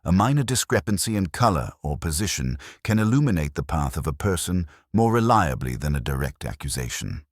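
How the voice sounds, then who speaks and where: A man voicing a calm, methodical police inspector in a mystery story, speaking formally and precisely. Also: rumbling low, brisk, hardly any pauses, no room sound, studio quality, exaggerated voice. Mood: instructive. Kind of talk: acted dialogue in mystery narration.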